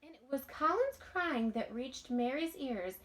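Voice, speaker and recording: Woman, thirties, expressive children's read-aloud, lively swooping intonation, unhurried pace, careful dramatic emphasis. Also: high-pitched. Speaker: storybook reader, teacher. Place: small room indoors at home.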